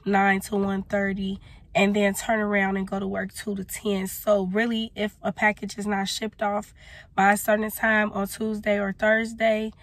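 A young woman with a hoarse voice, explaining herself in a casual vlog from her parked car. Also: medium pitch, monotone, normal speed, dry recording. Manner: defensive, explaining, gestures with her hand. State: hoarse, recovering from losing her voice. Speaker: small business owner vlogger. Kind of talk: casual direct-to-camera talk. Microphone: phone camera close up.

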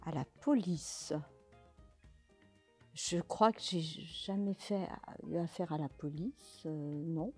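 A woman in her sixties answering interview questions, slowly and hesitantly, with many fillers and restarts, seated in a large bare indoor hall. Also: low-pitched, darkish tone, slightly rough, soft background music. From France, Pays de Gex. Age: 60s. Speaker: interviewee, literary event organizer.

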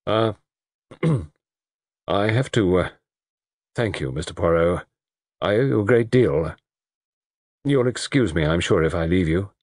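Guy doing a stiff awkward gent, low and husky, halting. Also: animated, darkish tone, no room sound, studio quality. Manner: halting, formal, hesitant. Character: reserved, stiff Englishman, middle-aged.

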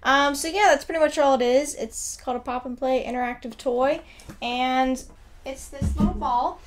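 A young woman co-hosting a casual product review at a home desk, her voice a little raspy from just waking up, chatty with many fillers. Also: steady tone, moderate pace, a little hiss or hum. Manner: chatty, mock infomercial pitch, many fillers. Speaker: co-host.

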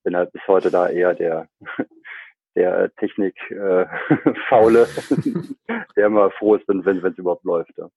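A man's voice: low-pitched, a little slow, creaky, breathy edge, no room sound. A man from Cologne, a startup founder chatting as a podcast guest, full of fillers and restarts. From Germany, Cologne. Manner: chatty, many fillers and restarts, laughs often. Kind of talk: podcast interview. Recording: dry, phone-like line.

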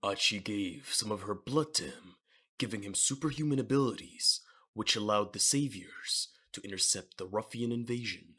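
A man with a husky voice reading scripted dramatic narration for a manga audio drama. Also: on the low side, moderate pace, bright, forceful tone.

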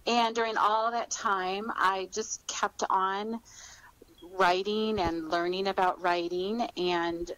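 A middle-aged woman speaks as a guest in a relaxed interview format. Her voice sits at an average pitch. She explains things in a reflective, encouraging way. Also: takes it slowly, clean tone, no room sound, rough recording. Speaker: author, podcast guest. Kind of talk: conversational interview answers.

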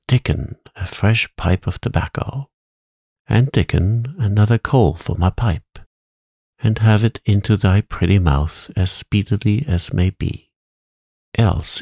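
A man voicing an old female witch who coaxes and dotes in old-fashioned speech, with a sharp tone. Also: creaky, no echo, studio quality, full character voice. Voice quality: high, sharp tone. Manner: coaxing, old-fashioned thou-and-thee speech. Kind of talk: audiobook character reading.

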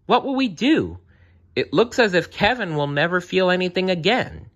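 A man's voice: mid-range voice, very animated, unhurried, bright, forceful tone, dull audio, voice pushed up. A man voicing a kindly, caring bear with an earnest, worried manner in a children's story.

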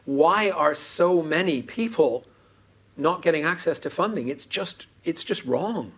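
A man's voice: a little high, expressive, unhurried, fairly bright tone, breathy edge. Earnest middle-aged man hosting a panel. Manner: earnest, repeats words when indignant. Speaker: panel host. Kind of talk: fireside chat on stage. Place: conference stage before an audience. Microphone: lapel mic.

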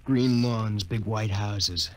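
A man's voice: fairly low pitch, takes it slowly. An actor playing a folksy, eager spaceship crewman with an American accent in a 1950s radio drama. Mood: nostalgic. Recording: noisy vintage 1950s radio recording.

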